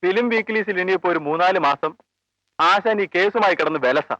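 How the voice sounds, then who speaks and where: A teasing, joking friend. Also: male voice, high-pitched, moderate pace, bright, forceful tone, dry recording, dull audio. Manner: teasing, playful. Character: teasing male friend of a police officer. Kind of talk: 1980s film drama.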